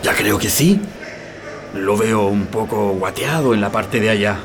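A man's voice: fairly low pitch, unhurried, natural voice. A man playing a watchful, practical prisoner in a radio drama, speaking with a Chilean accent. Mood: worried. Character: watchful, practical male prisoner digging a tunnel.

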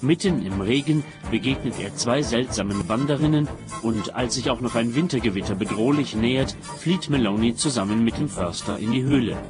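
A man's voice: medium pitch, a little fast. A man reading a scripted introduction as the announcer of a radio play. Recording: music behind the narration.

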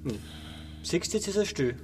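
A man's voice: a little high, lively intonation, normal speed, slight background noise, lightly acted. A panicky, whining man of about forty, played by an actor in a radio play. His voice swings widely as he pleads and repeats himself like an overgrown child. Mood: nervous, giddy. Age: 40s. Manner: nervous, pleading, repetitive. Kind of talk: radio drama dialogue.

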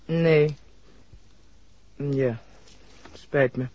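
A woman's voice: sits low, fairly even intonation, drawn-out words, full character voice. An actress playing a composed, authoritative female doctor in a 1960s radio drama. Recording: dull vintage radio drama recording.

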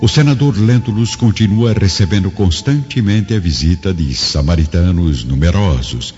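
A man's voice: sits low, darkish tone. A man with a Brazilian accent giving solemn, theatrical scripted narration for a radio drama. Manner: solemn, theatrical, measured enunciation. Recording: dull lo-fi recording, music behind.

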